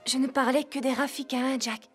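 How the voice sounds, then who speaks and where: High-voiced wise cartoon advisor. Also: female voice, steady tone, normal speed, a bit bright, soft background music. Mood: earnest. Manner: earnest, composed, instructive. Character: wise, loyal, calm female advisor, not human. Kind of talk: dubbed animated adventure acting.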